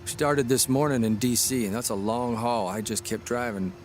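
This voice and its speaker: An actor playing a weary, lonely travelling writer, confiding haltingly in a period radio drama monologue. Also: male voice, medium pitch, fairly even intonation, slight background noise, close to an everyday voice.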